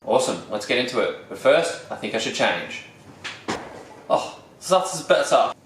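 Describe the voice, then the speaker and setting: A man's voice: mid-range voice, sing-song, moderate pace, reverberant, background noise. A man with an Australian accent hosting a casual cooking show in a playful, wry way with little puns. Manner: playful, wry, pun-making. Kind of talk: jokey casual cooking presentation.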